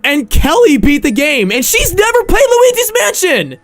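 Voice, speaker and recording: A man hosting a casual game review podcast, rambling and tripping over his words, slightly hoarse from losing his voice. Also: very high voice, huge pitch swings, normal speed, faint music behind. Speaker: podcast host.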